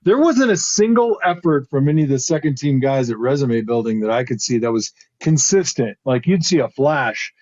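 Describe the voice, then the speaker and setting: A man's voice: normal speed, hardly any pauses, no echo. A middle-aged man, a former football lineman, co-hosting a casual podcast and telling a rambling story, often laughing. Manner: rambling storyteller, laughs often, jumps pitch when excited. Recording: slightly dull lo-fi sound.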